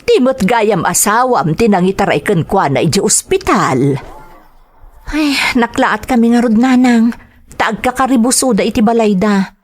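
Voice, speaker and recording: A woman's voice: fairly high pitch, lively intonation, normal speed. Plaintive young wife in distress, acted by a woman. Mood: urgent, alarmed. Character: Lani, worried young wife and caring daughter. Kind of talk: dramatized radio drama acting.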